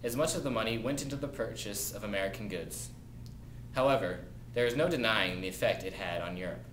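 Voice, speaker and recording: A young man with a fairly low voice reads a scripted news report. The room is echoey, with clear noise behind him. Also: fairly quick, fairly bright tone. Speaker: news reporter in amateur mock newscast.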